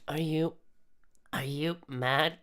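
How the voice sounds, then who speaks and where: Casting: male performer as gentle mom in a visual novel, unhurried delivery, smooth clean voice. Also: fairly high pitch, fairly even intonation, voice pushed up. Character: mother, gentle female parent addressing her children. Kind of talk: visual novel character read-aloud.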